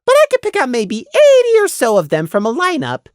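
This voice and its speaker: A man voicing a small, observant male creature with a bright, tactful, conversational manner in an audiobook story. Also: extremely high pitch, wildly swooping pitch, a little fast, no room sound, clean studio sound, voice pushed up, heavily acted.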